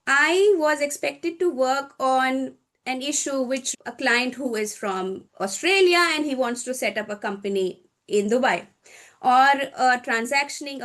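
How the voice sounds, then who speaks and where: A woman from India, answering interview questions in a measured, explanatory way; her is Hindi. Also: high voice. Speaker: guest, corporate lawyer.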